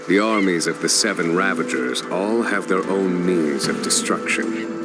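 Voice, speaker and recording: An actor playing a grave, commanding general who speaks in solemn, formal sentences. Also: male voice, deep voice. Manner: solemn, measured, formal speech. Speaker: military general of a starship. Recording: game dialogue over loud music.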